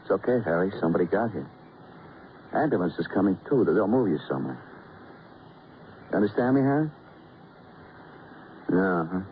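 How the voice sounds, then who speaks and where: An actor playing a morose, laconic veterans' club caretaker in an old radio drama, with terse, wry streetwise phrasing. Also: male voice, deep voice, animated, moderate pace, long pauses, phone-quality sound. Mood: wry, mournful. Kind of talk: 1940s radio drama acting. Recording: noisy, band-limited old radio recording.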